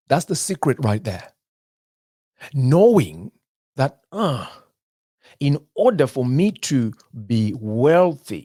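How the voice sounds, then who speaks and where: A man from Zimbabwe giving a motivational talk in an emphatic way, repeating phrases and pausing at length. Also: mid-range voice, a little mellow, no echo, clean studio sound. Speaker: motivational speaker.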